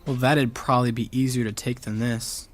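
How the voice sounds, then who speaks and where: An actor playing a frustrated but determined teenage high school athlete in an audio drama, earnest and defensive. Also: male voice, fairly even intonation, moderate pace, lightly acted. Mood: dejected.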